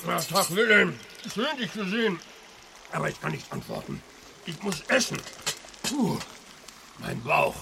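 A man's voice: high voice, wildly swooping pitch, measured pace, gravelly, pauses often, bright, forceful tone, slight background noise, full character voice. An actor playing a sluggish, overfed man groaning from eating too much, in a children's radio play. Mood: stuffed, apologetic. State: groaning from overeating.

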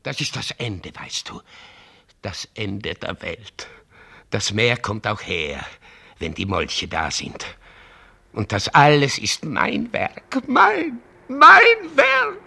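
An old father in a radio drama, with a creaky, husky voice. He is anxious and weighed down by guilt, speaking slowly and haltingly, then swinging high into outbursts. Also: wildly swooping pitch, pushed and bright, heavily acted. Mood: despairing, self-blaming. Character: anxious, guilt-ridden old father, simple Prague man. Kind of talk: radio play acting.

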